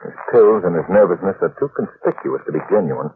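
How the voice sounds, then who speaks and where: A man playing a composed, sharp-witted amateur sleuth, courteous but commanding, in an old-time radio drama. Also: low voice, lively intonation, brisk. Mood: thoughtful, suspicious. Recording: tinny, noisy old radio recording.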